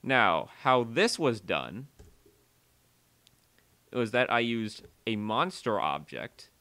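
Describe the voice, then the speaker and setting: A man narrates a software tutorial in a casual, conversational way. His voice is bright and forward, and his pitch moves around a lot. His energy is uneven as he steps through explanations and dwells on key words. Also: big pitch swings, slow. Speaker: tutorial creator and presenter.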